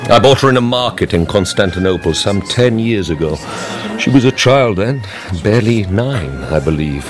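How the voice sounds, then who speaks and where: An actor playing a middle-aged count in a radio drama, brooding and vengeful beneath a measured, formal, courtly manner. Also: male voice, on the low side, expressive, over music, lightly acted. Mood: courtly, guarded. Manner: measured, formal, quietly intense.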